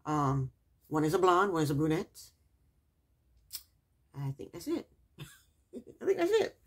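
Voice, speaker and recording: A woman's voice: deep voice, wildly swooping pitch, slow pace, husky. A woman recapping a reality TV episode in a casual, chatty way, with sarcastic asides and repeated punchlines.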